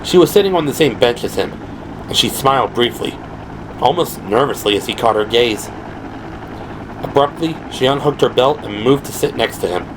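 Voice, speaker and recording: A man's voice: mid-range voice, lively intonation, normal speed. Male narrator over noisy sound effects. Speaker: narrator of an audio drama. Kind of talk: dramatic scripted narration. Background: helicopter and vehicle sound effects.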